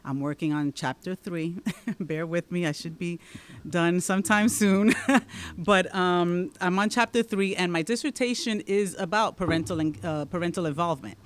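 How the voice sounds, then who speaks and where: A woman's voice: fairly low pitch, moderate pace. Dominican woman explaining with "right?" tags. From Dominican Republic. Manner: explanatory, often adds a questioning "right?". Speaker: guest, township committeewoman and teacher. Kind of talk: interview answers.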